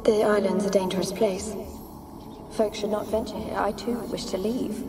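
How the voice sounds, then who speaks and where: A woman's voice: mid-range voice, fairly even intonation, moderate pace, lightly acted. An actress playing the lonely, haunted ghost of a young noblewoman in a video game, with a plaintive, dramatic delivery. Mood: guarded, sorrowful. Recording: loud music under the voice. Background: game soundtrack music.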